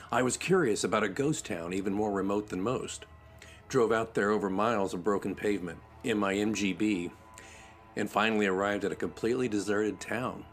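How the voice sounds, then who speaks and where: Very deep-voiced man narrating spooky stories. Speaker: host reading listeners' stories. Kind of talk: scripted spooky story narration. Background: music.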